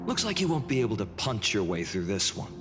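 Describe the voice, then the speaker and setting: A wry young soldier. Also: male voice, lowish voice, sing-song, a little fast. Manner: wry, self-doubting. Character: humble young male common soldier. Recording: game music behind.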